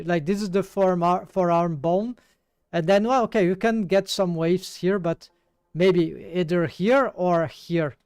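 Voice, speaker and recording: A man's voice: high voice, a little mellow, smooth, no room sound, clean studio sound. A man with a Brazilian accent, working through a 3D rigging task live and thinking aloud with many fillers and restarts. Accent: Brazilian Portuguese. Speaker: 3D rigging streamer. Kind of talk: live tutorial while working.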